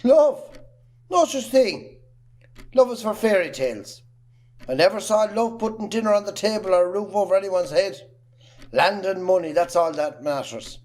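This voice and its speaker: A man acting a bullying, miserly farmer father in an amateur drama, blunt and gruff, with an Irish accent. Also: high-pitched, lively intonation, moderate pace, a little mellow. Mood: hard, dismissive.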